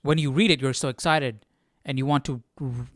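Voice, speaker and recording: A man's voice: medium pitch, steady tone, fairly quick, no room sound, clean studio sound. A man hosting a casual Bible study, reading aloud and explaining in an informal way, stumbling and correcting himself. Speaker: Bible study livestream host.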